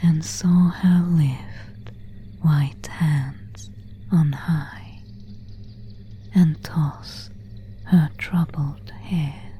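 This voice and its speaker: A woman voicing an elegant, melancholy vampire in an ASMR roleplay, in a breathy whisper with formal, old-fashioned phrasing. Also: low-pitched, steady tone, drawn-out words, pauses often, mellow. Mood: hushed, ominous. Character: pale, elegant, melancholy female vampire of an ancient castle.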